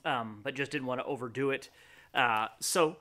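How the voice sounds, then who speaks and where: A man in his forties talking casually and haltingly in a vlog, thinking aloud as he goes. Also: medium pitch, sharp tone. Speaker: fitness vlogger. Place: wooden garage with the door open.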